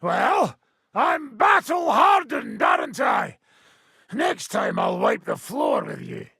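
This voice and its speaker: An actor playing a boisterous, hard-drinking mercenary swordsman in a video game, with a gravelly British voice and a rough, teasing manner. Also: very high-pitched, very animated, takes it slowly, sharp tone, no room sound, shouting, studio quality, a faint crowd, full character voice. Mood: loud, taunting. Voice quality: gravelly, raspy. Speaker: combat trainer. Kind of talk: video game character dialogue.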